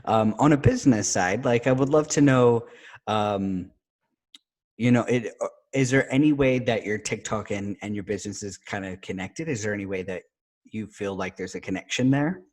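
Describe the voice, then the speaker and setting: A middle-aged man hosts a casual interview in a slightly low voice. He talks with enthusiasm and rambles a little, dropping "like" and "you know" all through his sentences. His tone stays friendly and relaxed. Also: normal speed. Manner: enthusiastic, chatty, many fillers like "like" and "you know". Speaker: podcast host.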